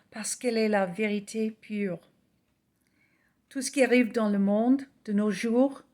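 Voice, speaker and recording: Slow earnest woman preacher. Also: fairly high pitch, a little mellow. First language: English. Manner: earnest, measured, reading aloud. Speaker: evangelist. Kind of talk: slow scripted gospel message.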